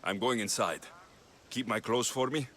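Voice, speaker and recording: An actor playing a determined young Spartan mercenary in a video game, blunt and questioning. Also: male voice, medium pitch, normal speed, a bit bright, slight background noise, close to an everyday voice. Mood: wry. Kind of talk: dramatic video game acting.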